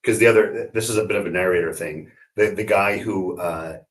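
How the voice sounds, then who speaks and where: A man, a voice actor, chatting casually with quick interjections and laughing agreement. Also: low-pitched. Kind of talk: casual chat.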